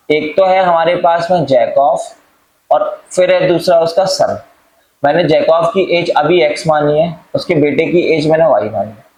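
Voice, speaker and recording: A man works through a school math problem, talking fondly to his students and tossing out questions that he answers himself. His voice sits a little high and rings around a bare, echoey room. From India. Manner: coaxing, calls students "beta", asks then answers his own questions. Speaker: math teacher. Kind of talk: step-by-step math lesson mixing Hindi and English.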